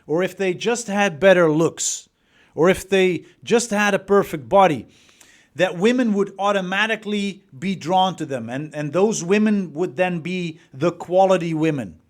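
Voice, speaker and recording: A man in his forties from the Netherlands with a Dutch accent, giving an emphatic motivational coaching talk with rhetorical questions and repeated words. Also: sits high. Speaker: dating coach.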